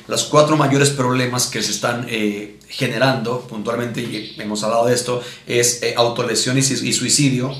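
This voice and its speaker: A man's voice: medium pitch, a bit bright. A man with a Mexican accent teaching a sermon in a didactic way, repeating his points and checking in with rhetorical questions. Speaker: pastor teaching at a missions conference. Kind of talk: teaching sermon with Bible readings. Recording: roomy, echoing sound.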